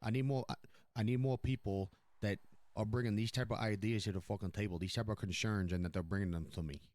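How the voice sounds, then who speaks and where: A man plays a gruff New York crime boss in an online roleplay. His voice is low, and he talks emphatically, swearing often and circling back over his own words. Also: steady tone, fast talker, darkish tone, dry recording, close to an everyday voice. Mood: approving. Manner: profane, emphatic, repeats himself.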